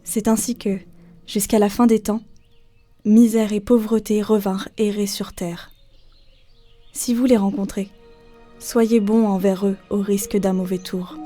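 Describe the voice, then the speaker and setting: A woman's voice: mid-range voice, flat delivery, a little slow, pauses often, mellow, soft background music. A woman narrating a literary folk tale as a scripted storyteller.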